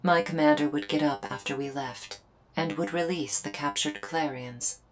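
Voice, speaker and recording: A woman's voice: low-pitched, normal speed, a little hiss or hum, natural voice. A woman playing a solemn alien invader soldier in a roleplay audio drama, speaking in a stilted, formal, earnest way. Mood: explaining, solemn. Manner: stilted, formal, literal speech. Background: background music.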